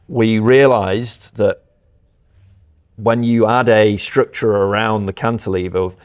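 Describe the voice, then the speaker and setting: Male product specialist, low register, explains engineering step by step as he demonstrates. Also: darkish tone. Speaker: company representative presenting audio products.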